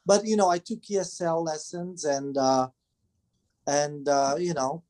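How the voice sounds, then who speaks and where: A man's voice: a little high, fairly even intonation, unhurried, darkish tone. A man in his forties from Romania, speaking English with a Romanian accent as a second language, answering interview questions hesitantly with many filler sounds. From Transylvania, Romania. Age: 40s. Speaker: guest, band manager and father. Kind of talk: casual interview chat.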